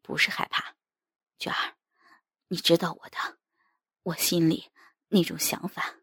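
Dejected, gentle husband for audio fiction , read by a woman: slow, soft, wistful, gravel-edged. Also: lowish voice, fairly even intonation, dry recording, studio quality, exaggerated voice. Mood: subdued, resigned.

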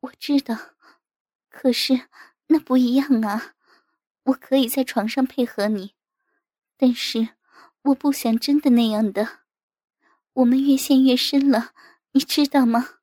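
Woman acting a high-voiced, anxious, tender wife. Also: steady tone, measured pace, pauses often, warm tone, no echo, clean studio sound. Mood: anxious, pleading. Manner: breathy, plaintive, cajoling.

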